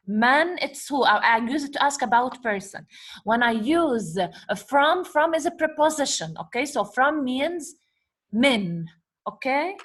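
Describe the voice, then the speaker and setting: A woman teaching an Arabic lesson over slides, explaining slowly and asking questions she then answers herself. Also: fairly high pitch, fairly bright tone. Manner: switches between English and Arabic words. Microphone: computer mic, voice-over on slides.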